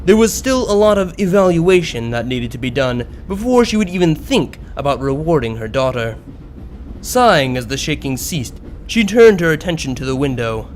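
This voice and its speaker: A man reading a story aloud as an expressive narrator, in scripted prose. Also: fairly high pitch, normal speed, slight background noise. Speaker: narrator of a fan fiction story. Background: background music.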